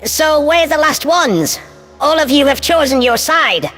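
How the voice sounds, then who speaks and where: A man's voice: extremely high pitch, animated, a little slow, bright, forceful tone, voice pushed up, exaggerated voice. A man voicing a tiny, grateful alien ambassador who makes sincere, formal speeches, heard in long reverb. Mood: earnest, grateful. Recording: long hall reverb, faint music.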